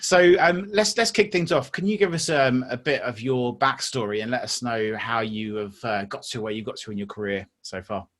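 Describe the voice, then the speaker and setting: A man with a mid-pitched voice hosting a business podcast. He sounds friendly and pokes fun at himself as he introduces guests and asks questions. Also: normal speed, hardly any pauses, fairly bright tone. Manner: friendly, self-deprecating. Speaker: podcast host. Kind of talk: podcast interviewing.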